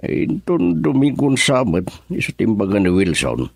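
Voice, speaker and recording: A man with an Ilocano Filipino accent playing a calm, matter-of-fact older village father in a radio drama. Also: on the low side, normal speed, vocal fry, no room sound, exaggerated voice.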